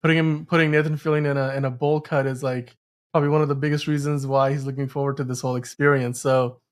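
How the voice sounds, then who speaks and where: A man hosting a podcast, explaining film news in a casual, halting way with pauses mid-sentence. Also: mid-range voice, little pitch movement. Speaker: podcast host.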